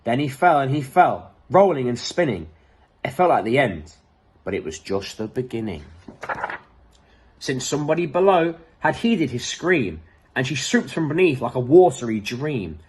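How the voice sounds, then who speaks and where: Husky man reading a children's story expressively. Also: mid-range voice, very animated, normal speed. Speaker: storybook reader.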